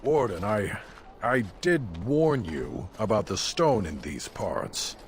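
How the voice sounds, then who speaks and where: An actor playing a proud, plain-spoken stonemason in a fantasy game, giving matter-of-fact advice. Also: male voice, fairly low pitch, sing-song, slow, slight background noise. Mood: frank.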